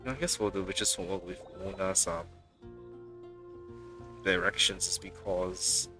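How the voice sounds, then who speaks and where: A man's voice: low voice, level tone, unhurried. A man giving casual, rambling live commentary as he plays a video game, offhand and sweary. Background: game music.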